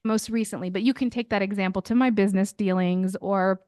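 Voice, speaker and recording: A woman's voice: medium pitch, steady tone, fairly quick, dark tone, dry recording. Reflective woman podcast co-host, dry sound. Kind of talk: casual chat.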